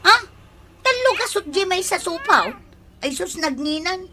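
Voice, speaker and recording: A woman's voice: very high voice, expressive, a little slow, sharp tone, a little hiss or hum, exaggerated voice. A woman playing an exasperated, bossy wife dodging debts, argumentative, in Ilocano-accented radio comedy drama. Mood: evasive.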